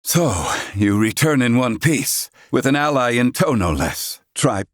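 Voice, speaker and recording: A man's voice: medium pitch, animated, unhurried, no echo, studio quality, lightly acted. A man voicing a stern, haughty learned character in a video game, speaking in pompous, clipped, archaic formal diction. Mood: gruff, disdainful.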